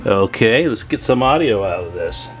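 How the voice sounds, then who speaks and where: A man's voice: fairly low pitch, expressive, moderate pace. A man hosting a hobby video, talking through a hands-on demonstration in an informal, chatty, slangy way. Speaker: host of a CB radio repair video.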